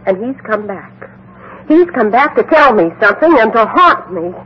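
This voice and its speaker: An actress playing a fervent, easily frightened medium, insistent and repetitive, in 1950s radio drama style. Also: female voice, on the high side, very animated, moderate pace, background noise. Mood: frightened, frantic. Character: frightened, fervent phony medium convinced by her brother's ghost. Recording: dull vintage 1950s radio recording.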